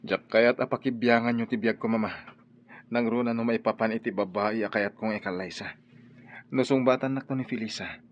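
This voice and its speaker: A man's voice: mid-range voice, fairly even intonation, a little fast, highs cut off, close to an everyday voice. A man with a Filipino accent playing an earnest, defiant grown son in love, in an Ilocano radio drama. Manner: earnest, insistent. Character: defiant, lovestruck grown son standing his ground.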